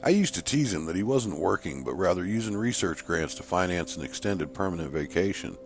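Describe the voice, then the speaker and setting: A man's voice: sits low, animated, brisk, creaky, soft background music. A man voicing an earnest male scientist who recounts events emphatically in an audio horror story. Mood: earnest, emphatic. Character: male shark researcher earnestly recounting an attack.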